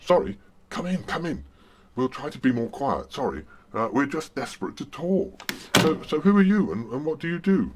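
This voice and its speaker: A man's voice: fairly high pitch, big pitch swings, moderate pace, a little hiss or hum. A man acting a flustered, hesitant wartime complaints clerk in radio play dialogue. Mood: apologetic.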